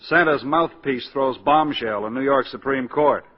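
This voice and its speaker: An actor playing a confident, idealistic, quick-witted lawyer in a 1940s radio drama, with a husky voice. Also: male voice, medium pitch, natural voice. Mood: earnest, upbeat. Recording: dull 1940s radio broadcast, long reverb.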